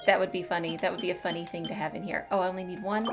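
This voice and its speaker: A woman's voice: fairly low pitch, flat delivery, racing. A woman doing casual, playful gameplay commentary as a streamer, chatting away to herself. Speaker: game streamer. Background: game music.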